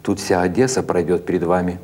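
A local investigator read by a male narrator in a literary audiobook, loyal to old legends. His voice is deep, his manner plain and understated. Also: a little fast, mellow. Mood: admiring.

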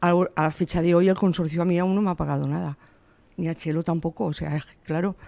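A woman's voice: fairly low pitch, steady tone, fast talker, creaky, warm tone, no echo. A woman in her sixties from the Valencia region, a shop owner answering interview questions in an earnest, emphatic way. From Valencia region, Spain. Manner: earnest, emphatic, quotes her own words.

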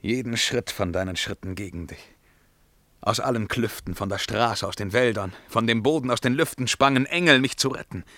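An actor playing a tormented emperor in a German verse radio drama, declaiming solemnly and moving between hushed and crying out. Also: male voice, medium pitch, moderate pace, no echo, close to an everyday voice. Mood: grave, resolute. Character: tormented Byzantine emperor breaking free of a witch's spell.

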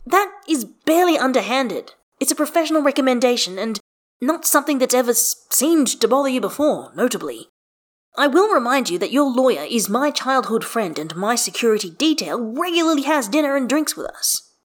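A woman's voice: on the high side, big pitch swings, moderate pace, voice pushed up. A woman voicing an earnest, exasperated man in a story, speaking in formal, precise, emphatic sentences. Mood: dry, insistent.

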